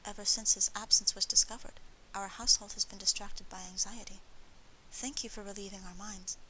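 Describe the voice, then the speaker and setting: A woman narrator puts on a noble lady for an audiobook. She is a formal, soft-spoken mother, anxious yet firm, heard at a middle pitch with faint hiss behind her. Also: steady tone, a little fast, lightly acted, rough recording. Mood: grateful, faltering. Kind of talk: audiobook character voice.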